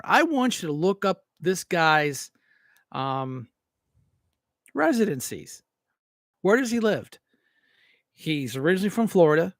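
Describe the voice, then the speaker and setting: Man, American accent, high voice, dry close sound, blunt, folksy, opinionated, repeats himself for emphasis. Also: dry recording. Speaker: talk show host and local political candidate. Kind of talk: opinionated political rant, informal and off-the-cuff.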